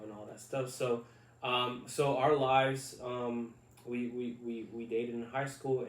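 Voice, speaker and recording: A man in his thirties, from Puerto Rico, co-hosts a casual podcast. He talks haltingly, circling back with fillers and restarts, in a flat, level voice. He sits a bit back from the mic in an echoey room with a faint hiss behind. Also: on the low side, distant mic. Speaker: podcast co-host. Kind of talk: casual chat.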